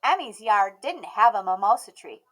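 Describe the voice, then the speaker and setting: A woman reading a children's picture book aloud as an expressive narrator. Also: medium pitch, normal speed. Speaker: storybook read-aloud host.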